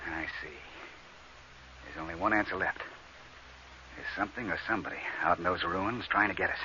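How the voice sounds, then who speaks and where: No-nonsense spaceship captain, commanding, impatient, very forceful voice, old radio drama, dull hissy vintage recording. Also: male voice, deep voice, a little fast. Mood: grim, wary. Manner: brusque, commanding.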